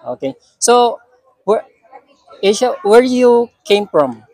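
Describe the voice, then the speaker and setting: A woman with a Filipino accent hosting an informal vlog interview, speaking in halting, repetitive phrases. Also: on the high side, lively intonation, warm tone, husky, no echo.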